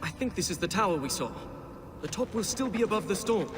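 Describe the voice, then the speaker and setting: A man's voice: sits high, lively intonation, fast. A man playing a young, wry swordsman prince in a video game cutscene. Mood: urgent. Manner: wry, dryly joking. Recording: game soundtrack mix with loud music. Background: loud music.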